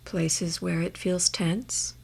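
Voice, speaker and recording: A woman guiding a meditation in a measured, gentle way, leaving space between her phrases. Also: on the low side, fairly even intonation, gravelly, slightly rough. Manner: measured, gentle instruction with long pauses. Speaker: meditation guide. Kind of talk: guided body scan meditation.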